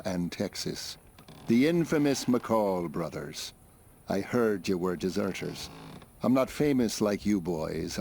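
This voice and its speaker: A mocking hired gun from a western game. He talks coolly and tauntingly in a fairly low voice, with faint ambient noise behind him. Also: darkish tone. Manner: unhurried, taunting. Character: cool, mocking hired gunman of the Old West. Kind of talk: video game cutscene acting.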